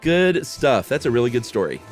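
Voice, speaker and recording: A male podcast host with an expressive, theatrical delivery. His mid-pitched voice moves up and down with a lot of life as he reads spooky fiction or chats casually. Music plays clearly behind him. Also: moderate pace. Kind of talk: dramatic reading of a horror story and casual chat.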